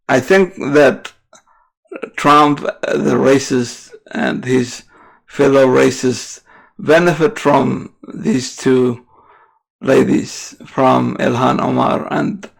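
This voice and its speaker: A man from the Middle East, a native Arabic speaker, giving unscripted political commentary as a podcast host in a halting way, often correcting himself. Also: mid-range voice, vocal fry, low-quality recording. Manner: halting, searching for words with frequent self-corrections.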